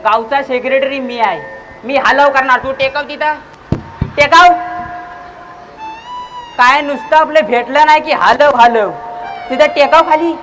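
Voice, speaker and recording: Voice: high-pitched, unhurried, pauses often, music in the background. A performer playing a bossy, irritable village secretary in a comic stage play, scolding and barking repeatedly. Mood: bossy, exasperated. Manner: scolding, exasperated, repetitive barking. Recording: noisy live stage recording.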